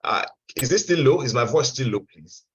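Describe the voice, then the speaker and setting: A man's voice: mid-range voice, animated, moderate pace, fairly bright tone. A man presenting an online investment seminar, often stumbling and repeating words and checking whether listeners can hear him. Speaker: webinar presenter. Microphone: video call. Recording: dull lo-fi video call audio.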